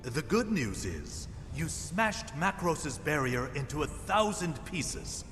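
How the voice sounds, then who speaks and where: An actor playing a playful, theatrical ally in a fantasy video game, with a teasing, animated delivery. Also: male voice, on the high side, slow, exaggerated voice. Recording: long reverb, music behind.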